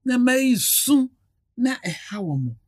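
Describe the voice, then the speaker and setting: A woman talks as a radio guest, her voice riding up and down a lot and sitting a little high. She is earnest and animated and laughs easily. The studio sound is dry. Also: a little slow, dry recording. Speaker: talk show guest. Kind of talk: casual radio chat.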